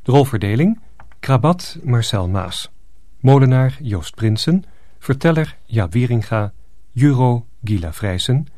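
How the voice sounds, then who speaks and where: Mellow male radio announcer. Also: medium pitch, expressive, unhurried, dry recording, studio quality. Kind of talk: scripted closing credits announcement.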